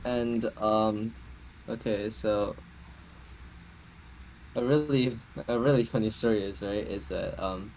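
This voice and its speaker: A man's voice: on the low side, takes it slowly, pauses often, darkish tone, a little hiss or hum, low-quality recording. A young man co-hosting a casual podcast, telling a story in a hesitant, filler-heavy way with many restarts. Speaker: podcast co-host. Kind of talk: casual chat.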